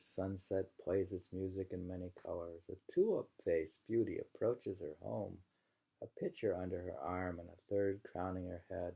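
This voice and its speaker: Man, poetry reader, casual commentary, mellow tone, very slow pacing, reflective. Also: on the low side, low-quality recording.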